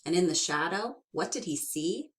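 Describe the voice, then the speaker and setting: A young woman teacher reading a storybook aloud to children, slowly and expressively. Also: on the low side. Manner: slow and expressive, asks the listeners questions.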